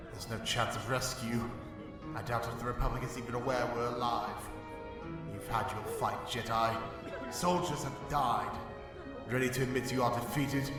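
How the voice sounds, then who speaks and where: A man's voice: mid-range voice, close to an everyday voice. A man playing a bitter, haughty senator and deposed commander in a dramatic comic dub, with a sarcastic manner. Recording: echoey cave reverb, distant, music behind.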